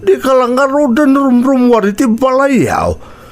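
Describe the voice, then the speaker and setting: A man's voice: extremely high pitch, huge pitch swings, a little slow, soft background music, heavily acted. An actor with an Ilocano accent playing a concerned, protective elderly father-in-law in a radio drama. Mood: agitated. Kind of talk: Filipino radio drama acting.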